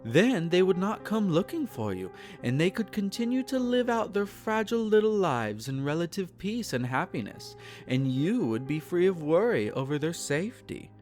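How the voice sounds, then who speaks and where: A man's voice: high voice, big pitch swings, moderate pace, few pauses, darkish tone, soft background music. A man playing a possessive, aristocratic male vampire in an intimate roleplay audio drama, speaking formally, soothing yet condescending and menacing. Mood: coolly pleased.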